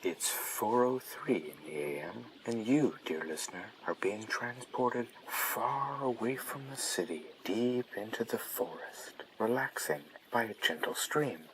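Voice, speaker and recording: A man playing a dreamy late-night radio host, speaking in a hushed, breathy, soothing style over a running stream. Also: fairly low pitch, lively intonation, measured pace, few pauses, slight background noise, rough recording. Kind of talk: soft relaxation radio narration. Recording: nature ambience behind the voice.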